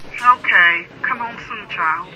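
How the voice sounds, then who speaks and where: A woman's voice: mid-range voice, takes it slowly, sharp tone. A woman playing an anxious mother in a radio drama, fretful and plaintive through a telephone filter. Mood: wistful. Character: anxious middle-aged or older mother, fretting. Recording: dull telephone line.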